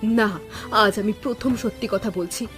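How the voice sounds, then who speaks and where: A woman's voice: mid-range voice, measured pace, fairly bright tone, faint music behind, lightly acted. Woman acting an anguished young wife in cartoon melodrama. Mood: defiant. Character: anguished young wife, quiet by nature, growing resolute.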